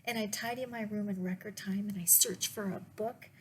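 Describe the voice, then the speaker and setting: A woman reading picture books aloud to children as a librarian, in a deliberate way with pauses and little asides to the listeners. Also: medium pitch, steady tone, a little hiss or hum. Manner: slow, deliberate phrasing with pauses. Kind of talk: read-aloud storytime with asides to young listeners.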